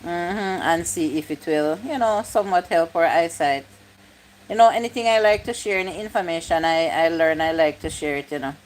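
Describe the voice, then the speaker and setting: A woman's voice: lowish voice, moderate pace, a little hiss or hum, low-quality recording. A woman, chatting casually on a livestream, reading comments aloud and repeating her points. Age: 50s. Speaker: YouTube live streamer.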